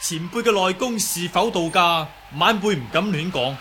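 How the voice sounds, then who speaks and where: A man acting a calm young martial artist who explains healing humbly and politely in Cantonese radio drama. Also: high-pitched, normal speed, slight background noise. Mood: politely firm.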